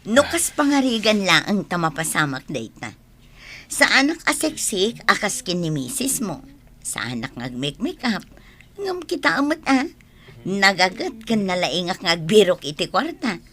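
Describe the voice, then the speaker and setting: Gossipy Ilocano friend, faint noise. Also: female voice, medium pitch, big pitch swings, measured pace, a bit bright. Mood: gossipy, teasing. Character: gossipy, teasing female friend of a driver, a parent. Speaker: radio drama actor. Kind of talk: Ilocano radio drama acting.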